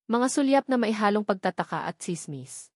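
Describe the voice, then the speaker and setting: A woman's voice: mid-range voice, expressive, normal speed, a little mellow, no echo, clean studio sound. A woman with a Filipino accent reading scripted narration of a romance story.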